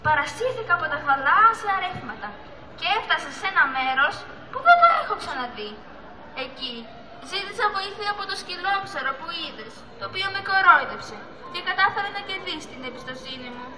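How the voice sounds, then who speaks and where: A woman's voice: extremely high pitch, lively intonation, a crowd in the background. A teenage girl playing a small, lonely, anxious female sea turtle in an amateur school puppet play, with theatrical pleading. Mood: sorrowful. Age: young teenager. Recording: echoey hall recording with audience noise.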